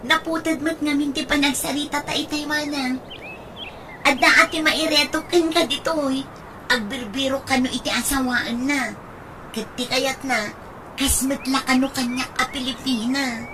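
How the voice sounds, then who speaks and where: A woman's voice: high voice, fairly even intonation, moderate pace, close to an everyday voice. A woman playing a frank, teasing younger sister in a comedy radio drama. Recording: noticeable background noise.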